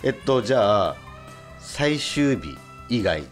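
A man's voice: fairly low pitch, big pitch swings, slow pace. A man hosting a casual radio chat, asking questions and reacting with frequent interjections. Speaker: radio show host. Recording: music playing behind the talk. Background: background music.

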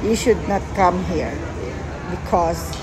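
A woman's voice: on the low side, slow. A young woman whose first language is Filipino, filming a walking vlog on a phone and talking earnestly, repeating words for emphasis. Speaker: vlogger. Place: outdoors on a busy city waterfront plaza. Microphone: handheld phone camera, speaker off screen. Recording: outdoor phone recording with street noise.

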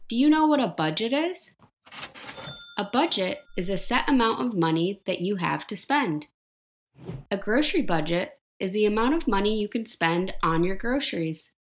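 Woman narrating a slow, clear lesson. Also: medium pitch. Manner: slow, clear, simple explanations with questions to the viewer. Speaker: teacher narrating a life skills lesson. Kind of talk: scripted educational narration.

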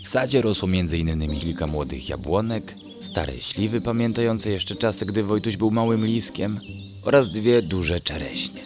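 Male storyteller, deep low pitch, gentle measured delivery, children's bedtime narration, music underneath.

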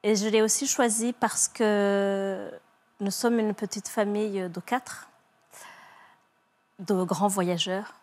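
A woman in her forties from Rwanda, a psychologist, giving halting testimony as a guest on a TV studio set, speaking softly with many pauses and repeats into a lapel mic. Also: mid-range voice, steady tone. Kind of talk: emotional TV interview testimony.

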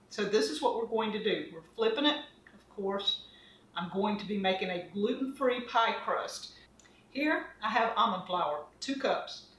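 A woman's voice: mid-range voice, slight background noise. Lady cooking show host, chatty and folksy, kinda far from the mic in a roomy home kitchen. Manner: chatty and homespun, talking while mixing dough by hand. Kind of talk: casual cooking demonstration. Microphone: camera across the counter.

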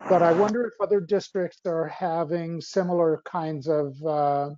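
A man's voice: on the high side, fairly even intonation, moderate pace, darkish tone. A man speaking informally as a school board chair in an online meeting. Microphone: video call. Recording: dull lo-fi video-call audio.

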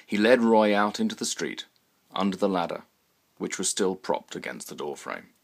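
Low-voiced British man reading a story. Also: expressive, normal speed, vocal fry. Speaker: author reading own short story. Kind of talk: scripted literary narration.

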